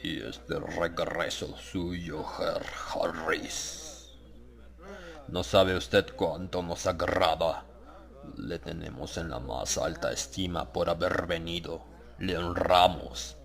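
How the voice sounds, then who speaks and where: Man voicing a deep, gravelly, solemn old monk. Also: fairly even intonation, a bit bright, heavily acted. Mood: solemn, reverent. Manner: slow, solemn, ingratiating. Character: grave, reverent old monk of a sinister religious brotherhood.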